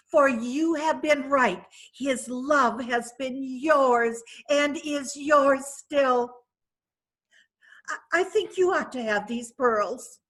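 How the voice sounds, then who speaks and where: A woman's voice: a little high, a bit bright, full character voice. A woman reading the part of a carefree, coquettish young woman who talks in an airy, assured, playful way. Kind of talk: readers theatre reading.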